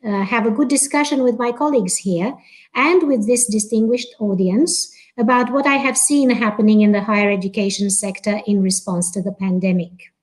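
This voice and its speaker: A middle-aged woman from Romania giving a prepared conference talk as a guest professor over a video call, speaking in a careful, measured way with frequent "uh" and "um". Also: medium pitch, rough recording. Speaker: guest panelist, associate professor.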